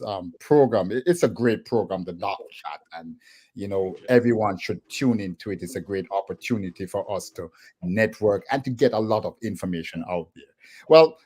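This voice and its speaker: A middle-aged man with a Jamaican accent, a professor guest talking on a video call from a home office, measured with hesitant fillers. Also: fairly low pitch, a little mellow.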